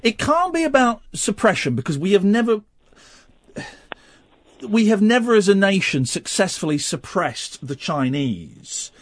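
A man's voice: sits high, sing-song, unhurried. A male radio host in casual studio chat, rambling with stammered restarts and musing questions.

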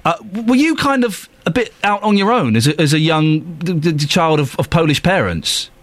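A man's voice: fairly high pitch, lively intonation, moderate pace, no room sound. A man hosting a radio show, blunt and teasing, often stumbling over his words and restarting. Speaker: radio host. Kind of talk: casual radio chat. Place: radio studio. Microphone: studio microphone.

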